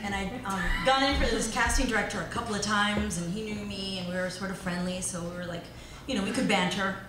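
Animated woman telling a story on stage. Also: medium pitch. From New York. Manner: animated, quick storytelling with vocal impressions. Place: small club stage, indoors. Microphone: stand mic. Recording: echoey live room, distant mic, hum.

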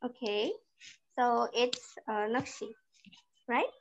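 A woman's voice: fairly high pitch, steady tone, slow pace. A teenage girl with a Bangladeshi accent hosting an online group reading over a video call. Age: teens. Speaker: session host. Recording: dull video-call audio.

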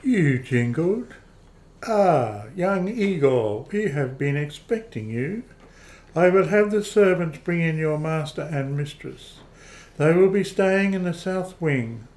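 A man's voice: on the high side, animated, takes it slowly, darkish tone, echoey room. A man playing a formal, deferential, unflappable old butler in an amateur radio play. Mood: formal welcome.

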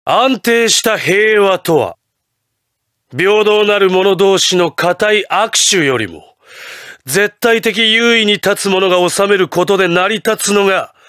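An actor playing an imperious, ruthless patriotic president in a Japanese anime fighting game, with grandiose, theatrical declarations. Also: male voice, high-pitched, lively intonation, takes it slowly, fairly bright tone, clean tone, no echo, clean studio sound, heavily acted. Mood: commanding, solemn.

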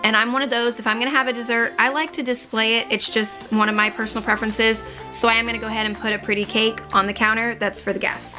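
A woman in her thirties walks viewers through her cleaning routine in a practical, instructive voice-over that sits a little high. She talks from home, and music plays clearly underneath her. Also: little pitch movement, quick pace, hardly any pauses. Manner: instructive, practical, matter-of-fact. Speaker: cleaning vlogger. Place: home living room, on a couch. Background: background music.

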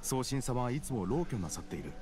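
A man's voice: medium pitch, big pitch swings, fairly quick, natural voice. A man voicing a middle-aged scholar in a video game, nervous yet self-important, with a fussy academic manner. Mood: explaining. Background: game music.

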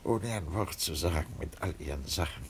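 Nervous, bedridden father in a radio crime play: gravelly, hesitant, evasive, with some rumbling noise behind. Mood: weary, plaintive. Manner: halting, hesitant, flustered. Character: anxious, evasive, sickly father, bedridden and lonely. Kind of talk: radio crime drama acting.

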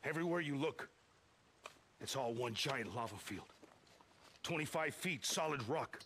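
A man's voice: medium pitch, expressive, measured pace, fairly bright tone, a little hiss or hum. An actor playing an exasperated work-camp foreman in a video game, argumentative and emphatic. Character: exasperated work-camp foreman standing up to his boss, Alkai. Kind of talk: naturalistic game cutscene acting.

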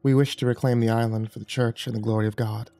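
A man voicing a gentle, solemn archangel who speaks softly and calmly. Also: mid-range voice, fast talker, no echo, soft background music, voice pushed down, natural voice. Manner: soft and calm.

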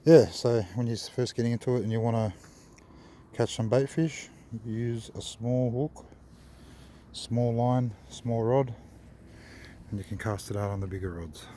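A man's voice: medium pitch, steady tone, a little slow, pauses often, warm tone, slight background noise. A young Australian man giving casual fishing tips on a harbour wharf at night, rambling and swearing now and then, filmed on a phone. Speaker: fishing vlogger. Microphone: phone camera held close.

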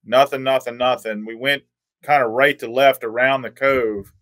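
A man in his forties chatting as a podcast guest over a video call, telling things in a measured way and repeating words for emphasis. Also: medium pitch, fairly even intonation, fairly bright tone, dry recording, studio quality. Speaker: podcast guest, tournament angler. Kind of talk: casual storytelling chat.

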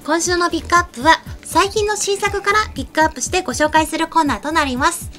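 Young female host, very high register, quick delivery, polite announcer phrasing for a studio anime programme. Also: sharp tone, soft background music. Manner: polite, formal presenting. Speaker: host of an anime news show. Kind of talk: scripted announcements and studio chat. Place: small indoor streaming studio. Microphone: desk microphone on an arm.

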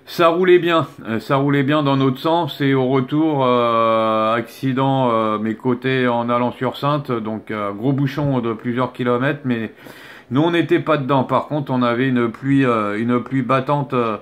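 A man talks casually as a radio operator at a middling pitch. He is hesitant, pausing to search for words and tagging his phrases as he goes. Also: steady tone. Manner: hesitant, often ends phrases with "hein".

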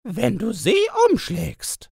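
A man's voice: high voice, very animated, slow, gravelly, a little mellow, breathy edge, no echo, clean studio sound, voice pushed up, heavily acted. A man voicing a sly old witch in a storybook, goading in an insinuating manner.

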